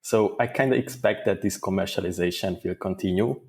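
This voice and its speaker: A man answering interview questions as a guest, in a thoughtful, measured way with small restarts. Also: low voice, darkish tone. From Hungary. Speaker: guest data engineer.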